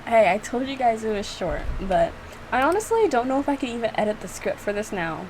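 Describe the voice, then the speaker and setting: Animated woman chatting over clear background noise. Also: fairly high pitch, brisk, rough recording. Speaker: video creator reacting to own visual novel. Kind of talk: casual reaction commentary.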